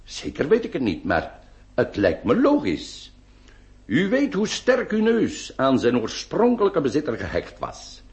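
A vain nineteenth-century surgeon in a radio play. He is pompous and soothing, and his pitch leaps and dives dramatically as he lectures in formal, weighty phrases. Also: huge pitch swings, darkish tone, heavily acted. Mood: matter-of-fact. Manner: pompous, formal, deliberate emphasis. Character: self-assured, vain 19th-century surgeon, soothing and pedantic. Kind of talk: theatrical Dutch-language radio play acting.

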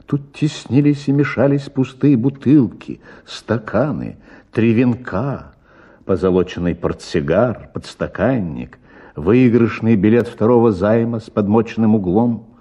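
A man's voice: lowish voice, dark tone, husky. A man narrating a literary short story in a dramatic audiobook style.

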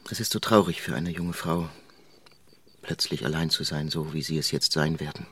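An actor playing an ardent, courteous suitor in a literary radio drama, speaking with formal, earnest gallantry. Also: male voice, very deep voice, steady tone, normal speed, bright, forceful tone, husky, heavily acted. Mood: solemn, devoted. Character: ardent, courteous male suitor consoling a young widow.

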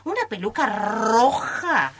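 A woman's voice: on the high side, very animated, slow, fairly bright tone, breathy edge, heavily acted. A woman with an Argentine accent playing a theatrical, effusive salon hairdresser in an improvised radio play, full of pet names. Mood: gushing, persuasive. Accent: Argentine Spanish. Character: gushing, theatrical, reproachful female hairdresser running her salon.

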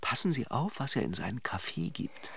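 Chatty, creaky-voiced holidaymaking charmer. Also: male voice, medium pitch, sing-song, normal speed, a bit bright, no room sound, exaggerated voice. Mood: conspiratorial gossip. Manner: playful, gossipy, teasing self-deprecation. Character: chatty, flirtatious male banker from Moscow on holiday. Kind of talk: literary radio drama acting and narration.

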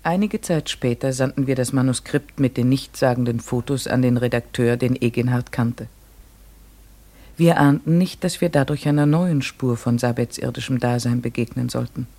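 An actor in a German radio play playing an earnest, searching man, with a husky voice and literary delivery. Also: medium pitch, normal speed, dark tone, natural voice. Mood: reflective. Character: earnest, searching male narrator haunted by a mystery.

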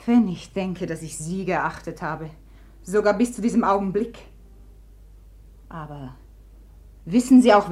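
An actress in a German radio drama playing a proud, unhappy woman who taunts her rival, theatrical and swinging between hushed and imperious. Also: sits low. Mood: scornful, bitter.

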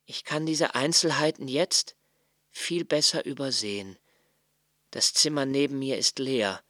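A brooding lodger voiced by a man delivers a literary radio monologue in a medium-pitched voice. He is obsessively observant and precise, weighing phrases and halting to correct himself. The sound is very dry, with no room around the voice. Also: a bit bright, no room sound, natural voice. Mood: quiet, pensive. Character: brooding, obsessively observant solitary male lodger, musing alone.

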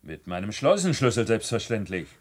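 A man's voice: lowish voice, sing-song, brisk, a little hiss or hum. An actor playing an officious, somewhat flustered male control engineer in a science-fiction radio play, curt and official. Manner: official, curt, caught off guard.

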